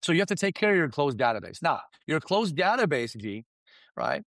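A man whose first language is Farsi coaches his listeners in an emphatic, animated way, constantly checking in with them. His pitch swings widely as he drives his points home, and the sound is dry and close. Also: a little fast, creaky, no room sound. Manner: emphatic, animated, keeps asking "right?" and "you understand?". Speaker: guest expert. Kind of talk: energetic business coaching talk.

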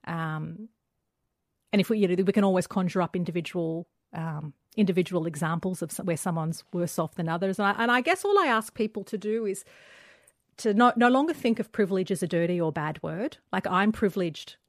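A woman's voice: lowish voice, expressive, a little fast, dry recording, studio quality. A young adult woman with an Australian accent, a guest author speaking candidly and emphatically in an interview. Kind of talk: conversational interview.

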